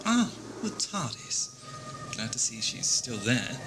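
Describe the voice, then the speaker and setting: A man's voice: mid-range voice, very animated, slow pace, over music, low-quality recording. An actor playing a witty, wry time-travelling alien hero in a sci-fi audio drama, bantering as he talks.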